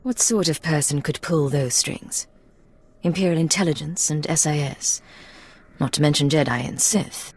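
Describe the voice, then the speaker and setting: An actress playing a hardened, wary Imperial agent in a video game, controlled, direct and clipped. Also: female voice, deep voice, moderate pace, slightly rough. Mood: guarded. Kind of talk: dramatic game dialogue.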